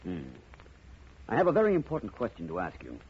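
An actor playing a calm, courteous private detective with an assured manner in a 1950s radio drama. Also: male voice, fairly low pitch, quick pace, slightly rough, heavily acted. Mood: probing, polite. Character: calm, confident, polite male private detective. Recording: muffled, noisy old radio recording.

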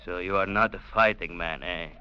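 An actor playing a domineering, sarcastic colonial trading captain in an old radio drama. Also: male voice, deep voice, measured pace. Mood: mocking. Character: sneering, bullying colonial trading agent captain, a man. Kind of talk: 1940s-50s radio drama acting. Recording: muffled, hissy old radio broadcast.

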